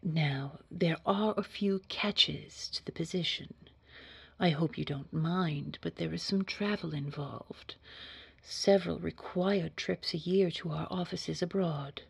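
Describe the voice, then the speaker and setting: A woman playing a poised, sinister boss in an audio roleplay, speaking formally in a hushed, husky, measured and quietly controlling way. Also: sits low, dry recording, full character voice. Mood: businesslike. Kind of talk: scripted audio roleplay acting.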